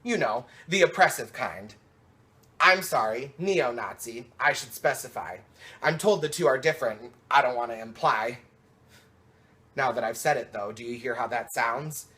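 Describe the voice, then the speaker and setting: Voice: medium pitch, normal speed, pushed and bright. A slam poet performing rhymed spoken word with an emphatic, dramatic and rhythmic delivery.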